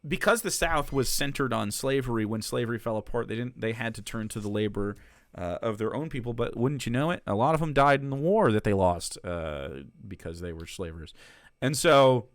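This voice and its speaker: A man's voice: mid-range voice, animated, brisk, dry recording, studio quality. A man who streams political commentary, reacting to clips in a casual, sarcastic and profane way, laughing between remarks.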